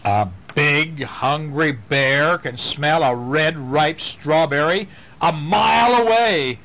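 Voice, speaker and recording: Animated dad reading bedtime stories. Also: male voice, on the high side, slow pace. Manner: gentle, animated storytelling with questions to the kids. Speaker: father reading to his children.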